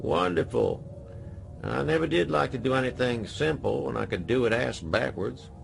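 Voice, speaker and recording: A man playing a sardonic dead old hacker cowboy in a radio drama, gravelly and folksy. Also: medium pitch, lively intonation, moderate pace, music in the background. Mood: wry. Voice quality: gravelly, creaky.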